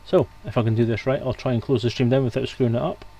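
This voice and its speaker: A man's voice: mid-range voice, steady tone, fast talker, warm tone, slight background noise. A man hosting a livestream, chatting casually with his guests and viewers as he wraps up. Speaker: livestream host.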